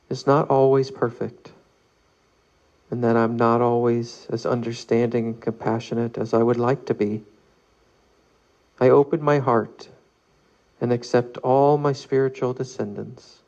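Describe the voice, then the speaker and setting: A man guides a meditation in a mellow voice with a creaky edge. He reads slowly and calmly, leaving long pauses between measured phrases. The recording sounds a little muffled. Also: mid-range voice. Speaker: meditation leader. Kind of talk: guided meditation, read aloud.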